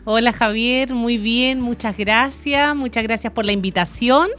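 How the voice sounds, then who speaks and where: A woman's voice: on the high side, unhurried, fairly bright tone. A Chilean woman in her thirties, a guest on a radio show, telling her life story in a chatty, colloquial way with easy laughter. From Chile, Aysén region. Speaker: guest, city councillor and radio announcer. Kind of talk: casual radio interview. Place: radio studio.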